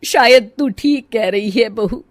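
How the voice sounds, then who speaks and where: A woman's voice: a little high, slow pace, no echo. A woman playing a proud, sorrowful, stubborn ageing mother-in-law in a cartoon story, plaintive and grumbling. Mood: softened. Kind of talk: cartoon story character dialogue.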